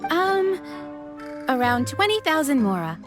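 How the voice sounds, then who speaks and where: A woman's voice: high-pitched, big pitch swings, unhurried, music in the background. A woman playing an eager, polite young waitress in a video game, talking up the food like a saleswoman. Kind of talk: video game character acting.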